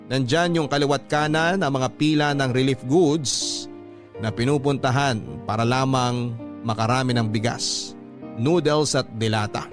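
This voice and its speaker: A man's voice: medium pitch, lightly acted. A man narrating as a humble letter sender recalling hardship, reflective and earnest, with a Filipino accent. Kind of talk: first-person dramatic narration of a letter. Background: music under the narration.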